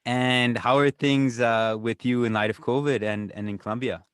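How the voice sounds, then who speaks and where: A man's voice: medium pitch, fairly even intonation, normal speed, a bit bright, clear, resonant tone, dry recording, studio quality. A man hosting a podcast interview, introducing his guest and asking questions with many hesitant fillers.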